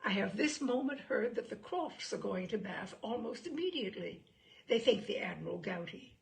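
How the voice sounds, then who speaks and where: A woman reading a classic novel aloud, voicing a self-pitying, gossipy young married gentlewoman with an animated, complaining manner. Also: medium pitch, normal speed, vocal fry. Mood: peevish, complaining.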